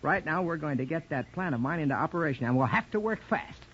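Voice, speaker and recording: Man playing confident young college hero in old 1940s radio serial, earnest, a bit high voiced, muffled recording. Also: animated, quick pace, over loud music, close to an everyday voice. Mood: determined.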